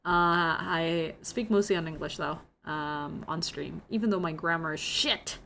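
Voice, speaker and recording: A woman's voice: low voice, unhurried, vocal fry, a bit bright. Young indonesian woman streamer, chatty and giggly, lots of "like", faint hiss behind. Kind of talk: casual chat with viewers.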